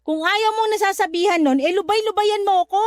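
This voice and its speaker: A woman playing a sharp-tongued, irritated young mother in a Tagalog radio drama, snappy, sarcastic and dismissive. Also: extremely high pitch, a little fast, dry recording.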